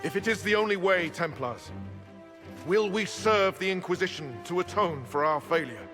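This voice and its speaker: An actor playing a grave, dutiful knight who speaks formally in a fantasy game. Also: male voice, high-pitched, animated, moderate pace, over music. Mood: solemn, resolute. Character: solemn, dutiful male knight rallying a broken order. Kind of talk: dramatic fantasy game acting. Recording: prominent score behind dialogue.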